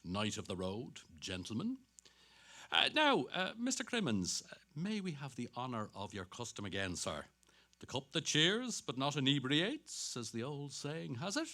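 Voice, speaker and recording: A man's voice: medium pitch, very animated, normal speed, sharp tone. An actor playing a vain, dapper middle-aged salesman who chatters to himself in a dramatic stage reading. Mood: hearty, obsequious. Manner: self-satisfied, muttering to himself between hearty greetings.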